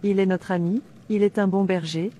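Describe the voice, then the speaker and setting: Woman acting devout female preacher, cartoon narration, mellow tone, mid pitch, solemn, didactic. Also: fairly even intonation, normal speed, a little hiss or hum, lightly acted. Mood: solemn, instructive. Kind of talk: scripted religious narration and cartoon dialogue.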